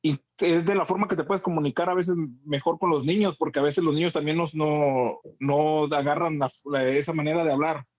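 A man's voice: fairly high pitch, fairly even intonation, a little fast, few pauses, dry recording, lo-fi sound. A man with a Mexican accent chatting casually as a co-host, talking in a rambling, repetitive way. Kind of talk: casual chat.

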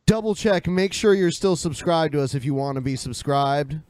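A man with a clear, smooth voice hosting a podcast in a casual style. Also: fairly high pitch, normal speed, darkish tone, a little hiss or hum. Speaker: podcast host.

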